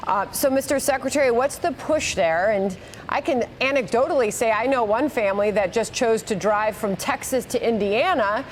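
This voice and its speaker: A woman's voice: fairly high pitch, normal speed, slight background noise. An American woman anchoring a news broadcast and putting questions to a guest.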